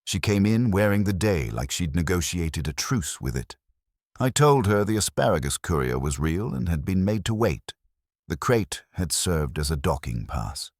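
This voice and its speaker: A man reading scripted first-person detective story narration in a wry, measured manner. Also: low voice, very animated, no room sound, clean studio sound. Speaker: audiobook narrator.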